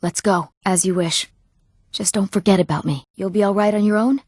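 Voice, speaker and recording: An eager young village woman from a fantasy game, acted by a woman. She speaks in an earnest, clearly enunciated, slightly theatrical way at a medium pitch, recorded dry and close. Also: fairly even intonation, normal speed, a little mellow, dry recording, natural voice. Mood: willing, businesslike. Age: young adult. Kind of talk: scripted fantasy video game voice lines.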